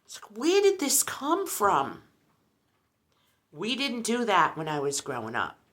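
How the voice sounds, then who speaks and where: Woman in her sixties for a vlog, chatty and rambling, bright animated delivery. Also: mid-range voice, unhurried. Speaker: lifestyle vlogger. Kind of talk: casual chat.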